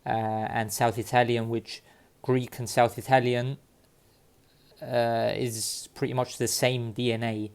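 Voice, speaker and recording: A man from Cyprus talking casually to his viewers, halting often with fillers and restarts. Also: mid-range voice, flat delivery, creaky. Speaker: vlogger sharing DNA results. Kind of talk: casual talk to camera. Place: London.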